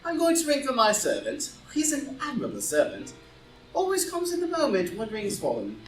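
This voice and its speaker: A man playing a witty, cool aristocratic gentleman, dry and commanding, in an audio drama reading recorded at some distance in a room. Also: extremely high pitch, wildly swooping pitch, a little fast, exaggerated voice. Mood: coolly mocking. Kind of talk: live group reading of a stage play as audio drama. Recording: roomy, distant group reading with faint music.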